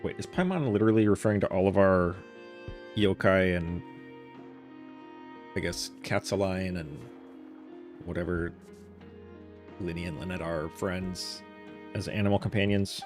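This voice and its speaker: A man with a low voice streams his playthrough. He comments casually and slangily in a laid-back manner, with game music clearly audible behind him. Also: measured pace, long pauses.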